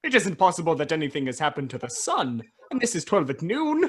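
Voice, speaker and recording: A man's voice: on the high side, wildly swooping pitch, fairly quick, breathy edge, full character voice. A man playing a bewildered, grumbling old miser in a theatrical radio drama monologue, muttering to himself.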